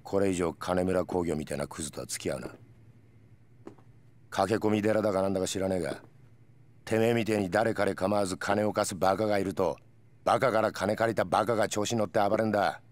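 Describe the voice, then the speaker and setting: An actor playing a gruff, menacing middle-aged police detective in a video game cutscene, speaking in a rough, blunt way. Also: male voice, deep voice, fairly even intonation, fairly quick, close to an everyday voice. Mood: scolding.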